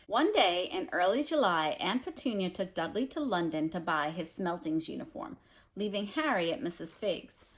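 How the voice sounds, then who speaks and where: A woman speaking as a teacher doing a read-aloud of a children's novel, explaining things in a careful, measured way. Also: fairly low pitch, expressive. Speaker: teacher reading aloud to students.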